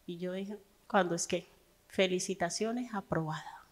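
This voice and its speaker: A woman's voice: on the low side, unhurried. A woman pastor giving a sermon, telling a personal testimony in a conversational way and building to fervent preaching. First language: Spanish.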